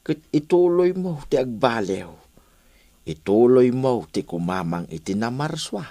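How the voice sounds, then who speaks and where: A man with an Ilocano accent playing a kindly, devout old elder in a radio drama, giving solemn fatherly counsel in a slow, husky voice. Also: medium pitch, big pitch swings, warm tone. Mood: solemn, encouraging. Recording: music behind the voice.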